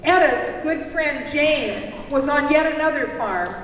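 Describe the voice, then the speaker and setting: A woman's voice: sits high, animated, unhurried, a bit bright, a little hiss or hum. A woman narrating a puppet show, telling a story for children. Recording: echoey hall from far off, music.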